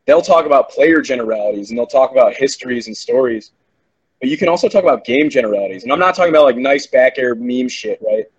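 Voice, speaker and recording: A man's voice: mid-range voice, animated, quick pace, slightly rough, lo-fi sound. A man who works as a game commentator, chatting on a podcast in a rambling, profane, self-assured way. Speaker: esports commentator. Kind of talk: casual podcast chat.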